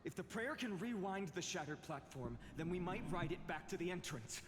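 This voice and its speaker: An actor playing a resolute, urgent young adventurer in a fantasy game, earnest and commanding. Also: male voice, high voice, expressive, moderate pace, in a large hall, noisy background. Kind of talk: video game voice acting.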